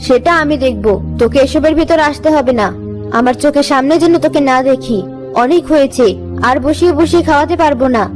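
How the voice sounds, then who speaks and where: Cruel village stepmother for a cartoon, female performer. Raised pitch, biting and scolding, music underneath. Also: brisk, lightly acted. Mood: angry, scolding. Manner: harsh, threatening scolding. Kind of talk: cartoon story acting. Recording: music behind the voice.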